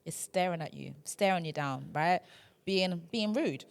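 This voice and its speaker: A woman's voice: fairly low pitch, a little slow, gravelly. A young woman hosting a podcast in casual chat, talking chattily with lots of filler words. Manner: chatty, lots of "like" and "do you know what I mean". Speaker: podcast host.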